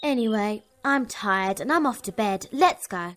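A woman's voice: medium pitch, animated, unhurried, noisy background, natural voice. A woman voicing an earnest, know-it-all schoolgirl witch in a video game, precise and explanatory. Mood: matter-of-fact, bossy. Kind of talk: video game cutscene acting.

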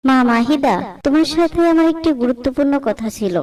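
A woman plays a fussing cartoon mother of middle age. She is insistent and high in pitch, recorded a little distant from the microphone. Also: brisk, a little mellow, lightly acted. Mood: gentle, serious.

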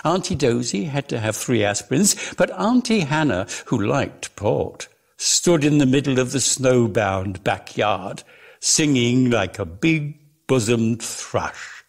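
Slow, dramatic male storyteller, very animated. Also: medium pitch, creaky. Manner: theatrical, deliberate, emphatic phrasing. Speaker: reader of a story. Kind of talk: dramatic literary reading.

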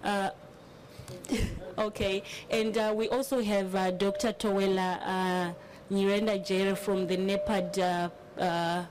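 A woman's voice: mid-range voice, level tone, slow, low-quality recording. A woman on a conference panel reading out recommendations, with frequent hesitations and restarts. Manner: hesitant, many filler sounds and restarts. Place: large conference hall.

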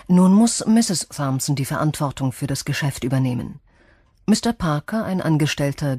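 A woman's voice: low-pitched, mellow, breathy edge, no echo, studio quality. A woman reading a scripted radio introduction as an announcer.

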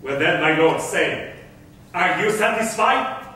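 A man's voice: high-pitched, animated, slow, bright, forceful tone, exaggerated voice. A man playing a wronged, defiant rich merchant in a staged reading of old verse, declaiming with passion. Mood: bitter pleading. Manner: declamatory, impassioned. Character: wronged, bitter, defiant wealthy merchant of Malta. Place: theatre stage in a hall. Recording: echoey stage, distant microphone.

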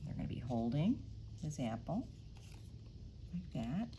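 Low-voiced woman leading a kids' craft. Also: a little slow, a little hiss or hum. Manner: animated storybook reading with big sound effects. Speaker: children's librarian. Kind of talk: storytime reading and craft demo. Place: library storytime room, indoors.

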